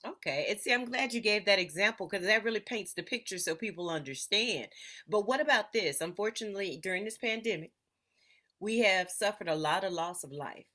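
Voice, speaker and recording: A woman's voice: mid-range voice, lively intonation, a little fast, pushed and bright. A woman hosting an interview, encouraging and affirming her guest. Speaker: interview host. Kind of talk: interview conversation.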